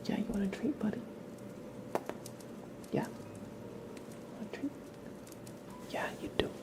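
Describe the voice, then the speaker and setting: A man streaming ASMR, speaking softly in a gentle, coaxing way and chatting casually. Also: high-pitched, slow, far from the mic. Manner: soft whispering, gentle coaxing talk.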